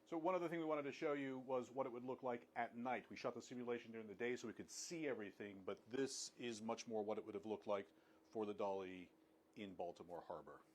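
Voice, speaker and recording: A man's voice: lowish voice, quick pace. Man, reporter, inquisitive interview questions, faint noise. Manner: prompting, inquisitive. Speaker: news reporter. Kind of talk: field interview.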